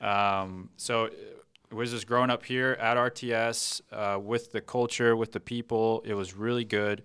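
Man from brazil, brazilian accent, low pitch, bright and smooth tone, hesitant. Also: steady tone, measured pace. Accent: Brazilian Portuguese. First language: Portuguese. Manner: hesitant, restarts phrases. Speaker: podcast guest.

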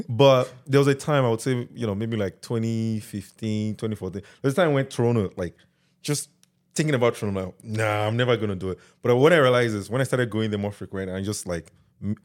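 Nigerian guy on a podcast, talks quick, keeps saying right?. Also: lowish voice. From Nigeria (Delta). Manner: tags remarks with "right?", easygoing. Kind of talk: casual chat.

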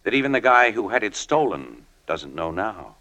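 Low-voiced, hard-edged American newspaperman. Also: big pitch swings, moderate pace, sharp tone. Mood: cool, needling. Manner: slangy, cool, needling. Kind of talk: 1940s radio drama acting.

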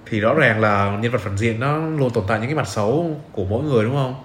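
A man's voice: mid-range voice, quick pace, slight background noise. A young man hosting a casual interview podcast, agreeable and checking in with his guest. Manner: agreeable, frequent short acknowledgements. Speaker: podcast host. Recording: roomy echo, faint music behind. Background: faint music.

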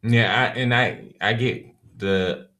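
Man, very deep pitch, reflective podcast co-host, calm measured delivery. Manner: measured, reflective, gives advice. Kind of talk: casual reflective chat.

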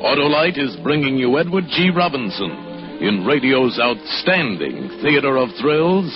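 A dull old radio recording with loud music behind a male show announcer. His mid-pitched voice delivers the script formally, with dramatic 1940s emphasis. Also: very animated, measured pace.

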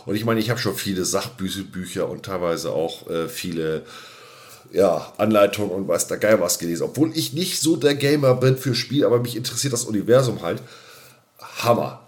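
A man's voice: deep voice, lively intonation, moderate pace, a little mellow. A man co-hosting a casual podcast, talking colloquially and emphatically and often repeating his phrases. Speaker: podcast co-host.

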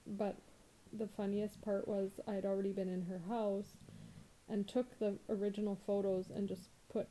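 A woman's voice: medium pitch, flat delivery, normal speed, mellow, rough recording. A woman in her thirties co-hosting a casual podcast chat, blunt and wry, swearing without fuss. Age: 30s. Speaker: podcast co-host.

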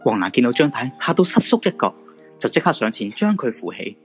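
A man's voice: mid-range voice, very animated, brisk, soft background music. A man with a Hong Kong Cantonese accent narrating a scripted supernatural radio drama.

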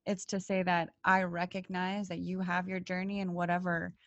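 A young woman raised in Canada speaks in a slightly low voice. She tells personal stories candidly and informally, stressing her points and acting out bits of dialogue. The recording is dry and a touch muffled. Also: level tone, normal speed, a bit bright, no room sound. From Canada, born in Poland. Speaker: interview guest. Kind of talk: candid conversational personal storytelling.

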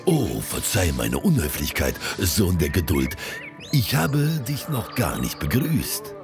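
A courteous southern fortune-teller and pipe seller in an audio drama. His very low voice swings up and down as he speaks slowly and ceremoniously, with ornate turns of phrase. Music plays clearly behind him. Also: huge pitch swings, full character voice. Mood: courteous, mysterious.

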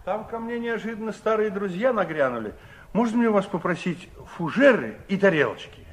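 An actor playing a genial, courteous older retired general in a 1960s radio play. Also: male voice, high voice, animated, brisk. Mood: courteous, warm. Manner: polite, warm, old-fashioned courtesy. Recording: 1967 radio play, background voices.

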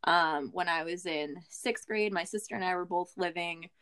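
An American woman telling her personal story as a guest in a candid, reflective, halting way, often restarting her phrases. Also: lowish voice, fairly bright tone, clear, resonant tone. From Boston area, Massachusetts, USA. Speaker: podcast guest, business owner.

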